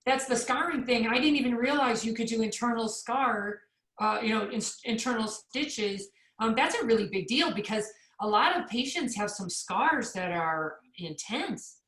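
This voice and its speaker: Bright-voiced woman host, echoey room. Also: fairly high pitch, expressive, normal speed. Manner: encouraging, enthusiastic. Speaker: podcast host. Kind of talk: interview.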